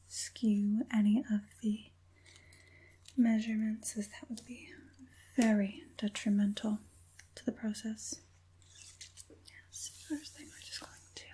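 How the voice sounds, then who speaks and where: A woman playing an evil witch puppet master in an ASMR roleplay, whispering softly in a slow, soothing and quietly persuasive way. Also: a little high, a little hiss or hum. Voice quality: breathy whisper.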